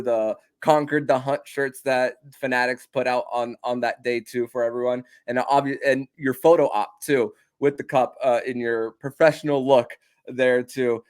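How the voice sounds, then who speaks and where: A man's voice: mid-range voice, darkish tone, clean tone. A man hosting a podcast who asks long, rambling questions full of restarts and repeated words. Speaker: podcast host. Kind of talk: casual podcast interview.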